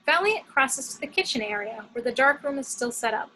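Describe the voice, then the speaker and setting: A woman's voice: high voice, animated, a little fast, bright, forceful tone, slight background noise, poor audio. A woman reading scripted action descriptions as the narrator of a screenplay table read.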